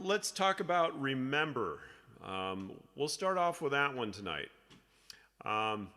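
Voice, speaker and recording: Halting choir director in a reverberant room. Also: male voice, mid-range voice, sharp tone. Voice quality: slightly crackly. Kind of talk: casual rehearsal instruction over a video call.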